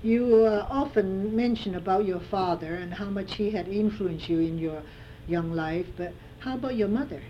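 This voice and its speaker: Mellow-voiced woman interviewer, lo-fi tape. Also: mid-range voice, normal speed. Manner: gentle prompting questions with fillers. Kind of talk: oral history interview. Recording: dull lo-fi tape with faint hiss.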